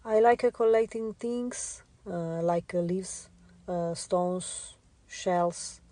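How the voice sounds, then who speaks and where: A woman with an Italian accent, a visual artist answering interview questions in a halting way with many filler sounds. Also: lowish voice, mellow, no echo. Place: radio studio.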